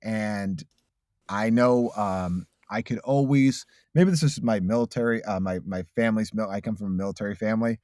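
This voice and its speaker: A man's voice: lowish voice, moderate pace, a little mellow, no room sound, clean studio sound. A man in his late thirties, a voice actor, telling his story in a casual interview, earnest and reflective with frequent restarts. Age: late 30s. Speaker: voice actor guest.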